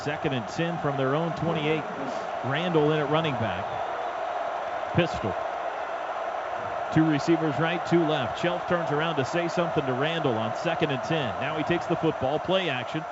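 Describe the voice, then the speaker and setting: A man giving live radio play-by-play of a football game in clipped, urgent phrases. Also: on the high side. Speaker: play-by-play announcer. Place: stadium radio booth. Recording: dull, noisy stadium radio broadcast.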